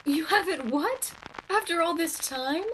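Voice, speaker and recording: A high-pitched, kindly confidant played by a woman in a radio play. The coworker is soothing and inquisitive, heard over a faintly noisy amateur recording. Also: lively intonation, a little slow, a bit bright, exaggerated voice. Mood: curious, reassuring. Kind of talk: virtual radio play acting.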